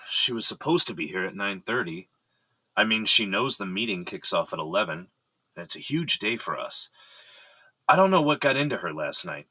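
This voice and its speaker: A man's voice: on the low side, sing-song, moderate pace, long pauses, sharp tone, slightly rough, dry recording, exaggerated voice. An actor playing a composed, serious, fatherly boss of an architecture firm in an audio drama. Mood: concerned, earnest.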